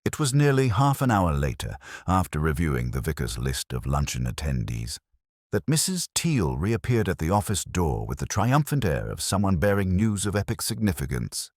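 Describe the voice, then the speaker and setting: A man reading a scripted story in a measured, wryly understated literary manner. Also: sits low, huge pitch swings, darkish tone, no echo, studio quality. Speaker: audiobook narrator.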